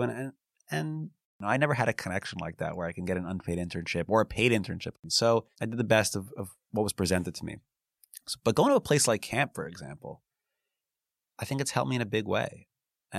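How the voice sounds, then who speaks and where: A man's voice: low voice, creaky. An American man, a lawyer, talking earnestly in an interview about his career, often restarting his words and adding asides. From United States. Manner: frequent restarts and "you know" asides, earnest. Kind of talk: reflective casual interview talk.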